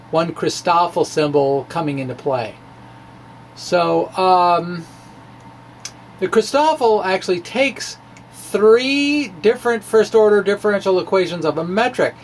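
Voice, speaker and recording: A speaker giving an informal, off-the-cuff physics explanation in a halting, self-interrupting, conversational way. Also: medium pitch, slight background noise. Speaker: amateur physicist explaining own work.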